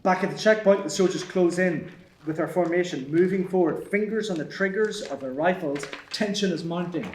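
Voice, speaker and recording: A man's voice: high-pitched, moderate pace, a little mellow, a little hiss or hum. A man reading stage directions as scripted narration at a screenplay table read. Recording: echoey room.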